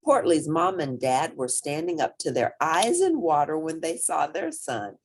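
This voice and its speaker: A woman reading a children's picture book aloud as an expressive storyteller, with dramatic emphasis. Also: deep voice, measured pace, a little hiss or hum.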